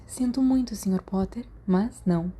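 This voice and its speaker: Woman voicing a brisk, firm school nurse. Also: mid-range voice, warm tone, close to an everyday voice. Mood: unmoved. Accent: Brazilian Portuguese. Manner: firm, admonishing.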